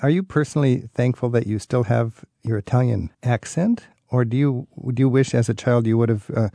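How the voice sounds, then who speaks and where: A man's voice: medium pitch, brisk, creaky, dark tone, no echo, clean studio sound. A man with an American accent hosting a radio interview, asking earnest, conversational questions. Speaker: radio host.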